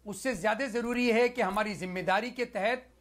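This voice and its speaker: High-voiced man arguing on a debate panel. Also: normal speed, fairly bright tone. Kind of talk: televised debate argument.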